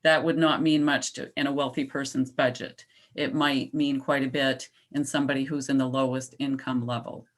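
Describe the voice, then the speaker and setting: A woman presenting a climate proposal at a town meeting and answering questions in a measured, explanatory way. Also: extremely low pitch, level tone. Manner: measured, explanatory, slows down on key points. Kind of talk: public comment at a town meeting.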